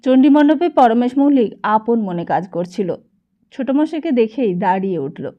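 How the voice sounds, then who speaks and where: A woman's voice: on the high side, lively intonation, moderate pace, highs cut off. A woman narrating a novel expressively as an audiobook.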